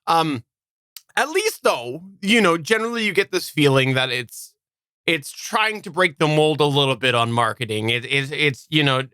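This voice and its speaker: Bright, crisp-voiced man co-hosting a podcast. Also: fairly high pitch, expressive, dry recording, studio quality. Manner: halting, repeats words as he goes. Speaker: podcast co-host. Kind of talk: casual live podcast chat.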